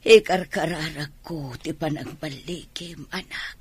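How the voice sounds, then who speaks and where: An actress playing a gentle, supportive middle-aged mother in an Ilocano Filipino radio drama. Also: low voice, unhurried, husky, full character voice. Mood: supportive, tender.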